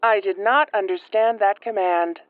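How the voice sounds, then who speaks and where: A woman's voice: mid-range voice, fairly even intonation, moderate pace, no echo. A woman voicing a literal shipboard computer in a sci-fi audio roleplay, giving clipped, emotionless announcements through a narrow filter. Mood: impassive. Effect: narrow phone-like computer filter. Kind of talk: science fiction audio roleplay. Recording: thin phone-like band, dry.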